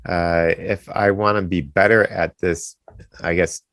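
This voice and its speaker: A man from Wisconsin with a very low voice, hosting a business interview show. He keeps things friendly and easygoing and asks his guest short questions. The recording is dry and close to the mic. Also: steady tone, measured pace, fairly bright tone, no room sound. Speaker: podcast host.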